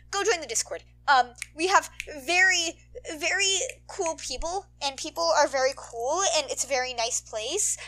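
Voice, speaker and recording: An excitable woman with a high, bright voice talks casually to her listeners. She rambles and gushes in slangy speech full of "like". It sounds like an informal host's chat. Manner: gushing, excitable, slangy, frequent "like". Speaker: podfic reader and host.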